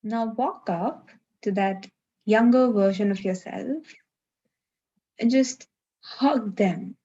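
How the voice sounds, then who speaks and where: A woman leading a live guided meditation, giving gentle, unhurried instructions with long pauses, over a video call. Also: mid-range voice, dull audio.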